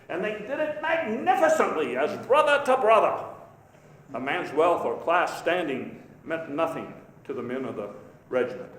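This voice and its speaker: An actor playing a vigorous, patriotic elder statesman giving a folksy, emphatic, halting speech in a live historical reenactment. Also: high voice. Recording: echoey live hall, faint background noise.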